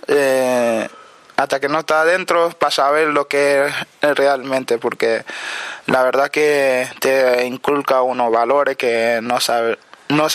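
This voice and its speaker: A man in his twenties from Mali, answering interview questions haltingly, with many restarts and fillers. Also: medium pitch, fairly bright tone, smooth, dry recording. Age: 20s. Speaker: summer camp participant.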